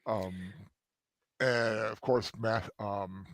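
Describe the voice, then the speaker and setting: A man's voice: lowish voice, fairly even intonation, a bit bright, dry recording. Tired sore guy chatting about a show, stumbles and restarts a lot, dry mic. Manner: halting, many restarts and fillers, laughs easily. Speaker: co-host. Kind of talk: casual review chat.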